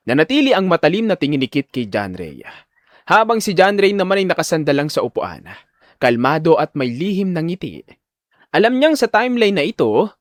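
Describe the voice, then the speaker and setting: A man's voice: fairly high pitch, no room sound, clean studio sound. A man narrating a scripted audio drama as a dramatic storyteller.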